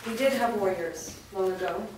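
A woman's voice: mid-range voice, normal speed, natural voice. An actress playing a fearful, defensive village woman in a staged play reading. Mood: grim, wary. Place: large bare indoor room, staged reading. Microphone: distant camera. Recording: echoey room from far off, noisy.

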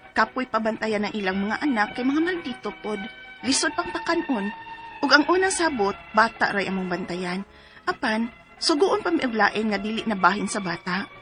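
A woman's voice: a little high, natural voice. A woman in her twenties from Cebu province voicing a timid young helper who narrates her own story and pleads apologetically in a radio drama. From Cebu province, Philippines. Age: 20s. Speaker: letter-writer narrator and lead.

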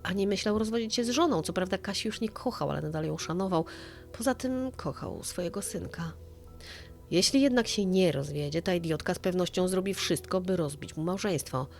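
Low-voiced woman narrating over music. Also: brisk, gravelly, a little mellow. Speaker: narrator of a true-crime story. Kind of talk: scripted dramatic audio-story narration. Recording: music bed under the voice. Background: background music.

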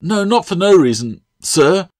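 Man doing a nervous young girl apprentice, raised pitch. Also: sing-song, measured pace, darkish tone. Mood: earnest pleading. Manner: apologetic, flustered, deferential.

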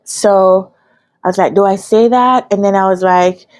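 A woman in her thirties with a Jamaican accent, telling a personal story casually to camera in an animated, expressive way, acting out dialogue as she goes. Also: medium pitch, a little slow. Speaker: business analyst trainer telling her career story. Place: quiet indoor room with curtains. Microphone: lapel.

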